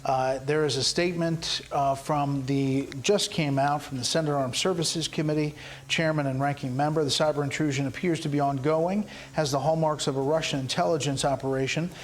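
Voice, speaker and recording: A man's voice: a little high, fairly even intonation, brisk. A man reporting live news as a television correspondent, partly reading and partly speaking off the cuff. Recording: news clip with faint background noise.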